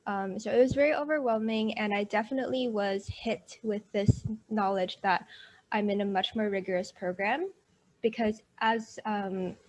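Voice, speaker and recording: A woman's voice: mid-range voice, fairly even intonation, normal speed, darkish tone, rough recording. A young woman with a Texan-tinged American accent, a university student speaking as a guest on a virtual panel, upbeat and chatty. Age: young adult. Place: at home in summer heat.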